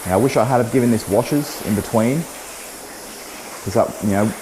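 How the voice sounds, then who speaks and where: A man hosting a car detailing vlog, talking casually about a product test while losing his voice, a little hoarse, with plenty of "um" and "so yeah". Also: lowish voice, normal speed, background noise. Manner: relaxed, chatty, frequent "um" and "so yeah". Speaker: car detailing channel host. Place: outdoors beside a test panel.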